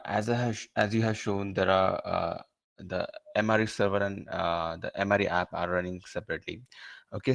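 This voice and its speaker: Deep gravelly man with an Indian accent. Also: dry recording. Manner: halting, searching for words. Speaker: class participant. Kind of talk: asking a question on a live call.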